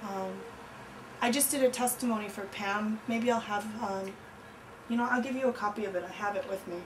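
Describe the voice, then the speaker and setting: A woman's voice: medium pitch, brisk. Earnest woman heard from across a room. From born in Korea, raised in Chicago. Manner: thoughtful, earnest, with fillers. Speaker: visitor in conversation. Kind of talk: casual conversation. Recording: distant mic with clear background noise.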